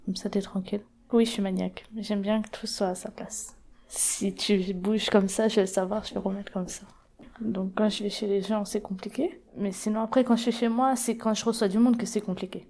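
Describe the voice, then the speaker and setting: Hesitant young Frenchwoman, mellow voice. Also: medium pitch, steady tone, fairly quick. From Paris region, France. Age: 20s. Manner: plain spoken, hesitant with many fillers. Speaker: interviewee. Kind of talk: documentary interview testimony.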